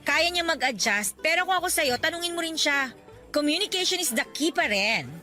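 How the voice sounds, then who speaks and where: A high, bright young woman who chats frankly and teases her friend. A soft music bed runs under her voice in this radio drama. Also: animated, normal speed. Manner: chatty, frank, teasing.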